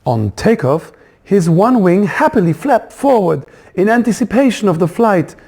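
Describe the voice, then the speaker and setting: A man narrates a children's story with very animated, swooping intonation. His voice is on the high side for a man, and he reads expressively, as an author presenting his own book. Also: moderate pace.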